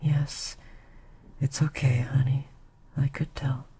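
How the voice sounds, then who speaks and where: A woman voicing an ancient queen succubus, soft and tender, often slipping into a breathy whisper in an ASMR roleplay. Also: very deep voice, little pitch movement, takes it slowly, a little hiss or hum.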